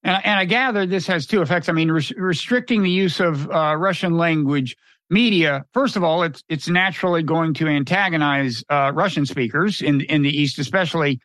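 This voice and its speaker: Male interviewer, high pitch, clarifying questions. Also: normal speed, a bit bright, no echo. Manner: clarifying, recapping context. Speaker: podcast host.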